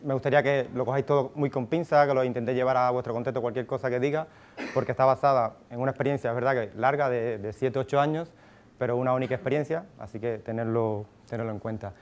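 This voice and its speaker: A man's voice: mid-range voice, steady tone, fast talker, darkish tone. A man in his thirties from Cuba with a Cuban accent, giving a casual conference talk to a live audience on a handheld mic, full of fillers and restarts. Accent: Cuban Spanish. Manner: casual, thinks aloud with many fillers and restarts. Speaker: conference speaker. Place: conference room, audience present. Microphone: handheld microphone.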